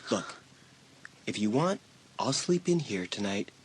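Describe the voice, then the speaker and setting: Dismissive teenage boy, animated pitch. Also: unhurried, slight background noise. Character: aloof, dismissive teenage boy, clever stepbrother. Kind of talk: dramatised audiobook acting.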